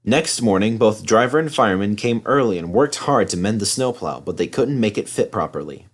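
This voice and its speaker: A man's voice: lowish voice, expressive, a little fast. A man reading a children's story as scripted narration. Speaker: storybook reader.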